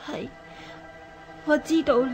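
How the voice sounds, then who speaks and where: An actress playing a young, demure and coquettish imperial consort in a historical radio drama. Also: female voice, high-pitched, takes it slowly, gravelly, loud music behind the voice, full character voice. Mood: demure, hesitant.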